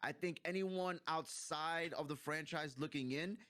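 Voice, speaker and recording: A man's voice: a little high, measured pace, a bit bright, dry recording, studio quality. A man co-hosting a casual movie review, chatting in a blunt, opinionated, slangy way. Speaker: co-host of a video game movie review. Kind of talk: casual review chat between friends.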